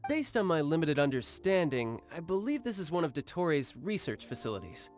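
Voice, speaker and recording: Lively, confident young warrior. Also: male voice, fairly high pitch, fairly quick. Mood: explaining. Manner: smooth-talking, teasing, articulate. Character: young man, playful, confident, doting older brother warrior. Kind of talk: anime-style video game voice acting. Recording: game music behind.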